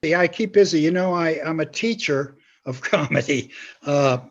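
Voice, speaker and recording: Older man, halting, in slightly dull audio. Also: on the high side. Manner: halting, chuckles while talking. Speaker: guest, comedy writer and teacher. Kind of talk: casual interview chat.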